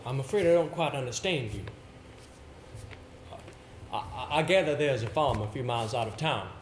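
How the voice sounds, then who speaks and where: An actor on stage playing a polite, inquisitive, slightly flustered solicitor with a formal, hesitant manner. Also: male voice, mid-range voice, expressive, normal speed, lightly acted. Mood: polite, puzzled. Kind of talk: live stage play acting. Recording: echoey hall, stage play recording with hiss.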